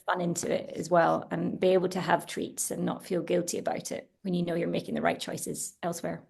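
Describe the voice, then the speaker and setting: A woman speaking on a webinar panel about her food brand, her voice low and edged with gravelly fry. She talks chattily and eagerly, often restarting sentences with fillers. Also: level tone, brisk, a little mellow. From Italian household. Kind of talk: casual panel discussion. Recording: webinar over video call.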